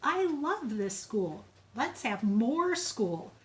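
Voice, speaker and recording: A woman voicing a proud, enthusiastic male school principal in a storybook, making drawn-out, emphatic declarations. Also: on the high side, sing-song, full character voice. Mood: proud, excited.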